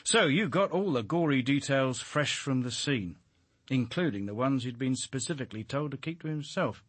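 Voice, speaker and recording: Shrewd british police inspector, husky, very animated pitch, needling and dry, close dry radio play sound. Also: male voice, moderate pace, no room sound. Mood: needling, sardonic. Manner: probing, dryly insinuating, polite on the surface. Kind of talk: British radio drama acting.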